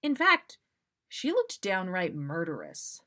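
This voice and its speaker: A woman's voice: lowish voice, huge pitch swings, slow pace, sharp tone. A woman reading a story aloud expressively as the host of a story session. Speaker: podcast host reading listener fan fiction.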